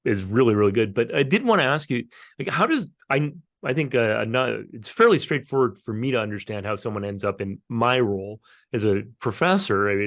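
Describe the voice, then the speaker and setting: A man's voice: fairly low pitch, dry recording, clean studio sound. A man who is a podcast host and professor, asking questions in a friendly, hesitant way with repeated words. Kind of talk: casual podcast interview.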